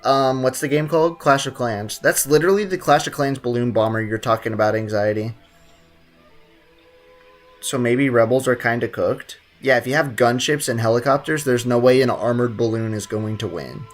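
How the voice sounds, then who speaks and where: A man's voice: mid-range voice, normal speed, soft background music. A man live-streaming a game, chatting casually and cracking irreverent, slangy jokes as he reacts to it and to viewers. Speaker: game livestreamer. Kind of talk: casual chat over gameplay, reacting and answering viewers.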